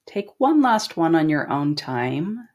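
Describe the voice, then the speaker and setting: A middle-aged woman leading a guided meditation in a calm, gentle, instructing manner with long pauses. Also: low voice, dull audio. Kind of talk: guided body scan meditation.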